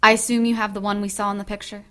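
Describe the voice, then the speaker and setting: Composed, regal witch high priestess, an actress. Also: little pitch movement, fairly bright tone, lightly acted. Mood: guarded calm. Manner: formal, measured, authoritative. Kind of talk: audio drama acting.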